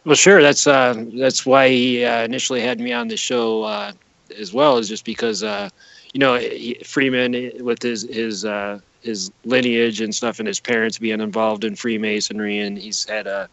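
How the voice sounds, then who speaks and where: A man's voice: medium pitch, lively intonation, normal speed, creaky, fairly bright tone, dry recording, low-quality recording. A man speaking as an interview guest in a casual podcast, explaining earnestly in a rambling way with repeated words.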